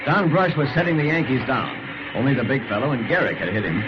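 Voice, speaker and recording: An actor playing an enthusiastic sports reporter who narrates in an earnest, punchy old-time radio style with an American accent. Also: male voice, medium pitch, expressive, fast talker, lightly acted. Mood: dramatic storytelling. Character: enthusiastic male sports reporter, the ballplayer's pal. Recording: muffled, hissy old radio recording.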